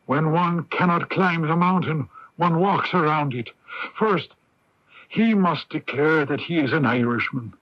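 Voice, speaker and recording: An actor playing a forceful, witty older playwright who argues in deliberate rhetoric, in radio drama style. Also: male voice, a little high. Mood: argumentative. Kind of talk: 1980s radio drama acting. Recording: dull lo-fi 1980s AM radio recording.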